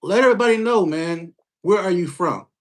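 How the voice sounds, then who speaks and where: Male podcast host, relaxed and slangy, a bit high in pitch. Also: a little fast. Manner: laid-back, slangy, frequent shout-outs. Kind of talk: casual interview chat.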